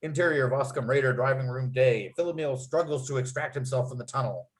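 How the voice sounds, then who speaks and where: A man's voice: mid-range voice, brisk. A man narrating a comedy screenplay at a table read, reading out scene headings and action with punchy delivery.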